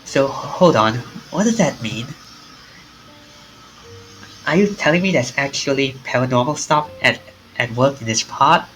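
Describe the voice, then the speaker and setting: A man's voice: medium pitch, a little slow, pauses often, a bit bright, soft background music, close to an everyday voice, poor audio. A man voicing a curious, casual, questioning man, the protagonist of a visual novel. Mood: incredulous.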